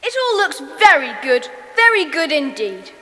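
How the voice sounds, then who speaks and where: A woman playing a bossy young schoolboy club leader in a children's audio drama, brisk and commanding. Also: sits high, sing-song, sharp tone, heavily acted. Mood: pleased, hearty. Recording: long hall-like reverb on voice.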